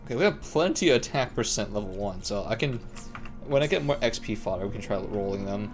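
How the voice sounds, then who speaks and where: A man's voice: fairly low pitch, expressive, fast talker. A young man doing casual live gameplay commentary as a streamer, rambling to himself in slangy speech. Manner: slangy, self-talking, rambling. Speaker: game streamer. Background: game music.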